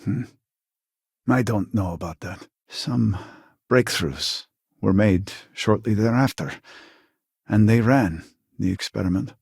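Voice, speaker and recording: An actor playing a weary, devout male scientist in a sci-fi video game, speaking in a formal, measured, earnest way with a husky voice. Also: on the low side, a little mellow, dry recording, studio quality, full character voice. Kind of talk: scripted video game character dialogue.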